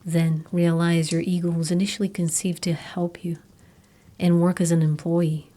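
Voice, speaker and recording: A woman narrating a scripted guided meditation in a soothing, hushed, soft and breathy manner. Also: on the low side, level tone. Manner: soothing, slow, hushed delivery. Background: meditation music.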